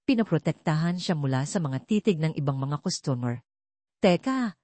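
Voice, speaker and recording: A woman's voice: sits low, animated, a little fast, dark tone, no room sound. A woman reading scripted dramatic narration as the narrator of an audio story.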